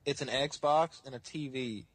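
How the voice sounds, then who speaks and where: Man in his 20s, husky mid-pitched voice, blunt casual podcast chat, dry sound. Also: measured pace, no room sound. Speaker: podcast host.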